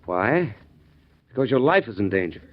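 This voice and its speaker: An actor playing a smooth, polite office manager who turns traitor in a 1940s radio drama. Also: male voice, fairly low pitch, sing-song, normal speed. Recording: dull old radio recording.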